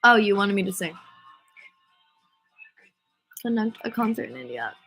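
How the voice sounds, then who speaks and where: A young American woman with a stuffy, congested voice from a cold talks casually to her fans, rambling and filling her stories with "like". Her pitch sits mid-range, with faint music beneath her. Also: sing-song, measured pace, bright, forceful tone, husky, poor audio. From United States. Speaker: singer answering fan comments. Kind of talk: casual chat on a livestream.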